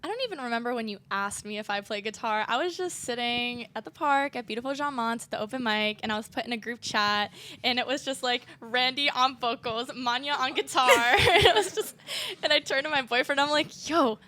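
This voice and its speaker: A woman's voice: on the high side, fairly quick, hardly any pauses, bright, forceful tone. A young woman from New Hampshire, a rhythm guitarist and student, chatting breezily and laughing. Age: young adult. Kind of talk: casual chat.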